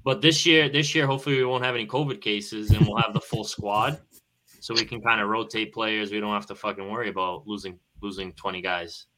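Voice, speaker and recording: Low, bright-voiced man in sports chat. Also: fairly even intonation, a little fast, poor audio. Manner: earnest, casual, occasional swearing. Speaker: podcast panelist.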